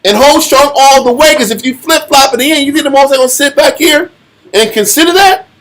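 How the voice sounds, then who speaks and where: A man's voice: extremely high pitch, a little fast, a bit bright, rough recording. A man with an American accent teaching religion informally, colloquial and taunting, firing off rhetorical questions. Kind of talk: informal sermon discussion.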